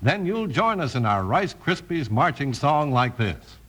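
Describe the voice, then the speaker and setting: Male announcer, lively swooping intonation, medium pitch, scripted vintage children's radio delivery. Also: a little slow. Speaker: announcer and narrator.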